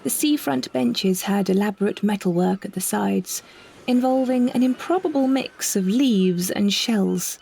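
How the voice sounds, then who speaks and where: A woman narrates a short story at a measured pace of telling, in scripted literary prose. Her voice sits at an ordinary female pitch, with noticeable creak and a husky, breathy grain. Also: darkish tone.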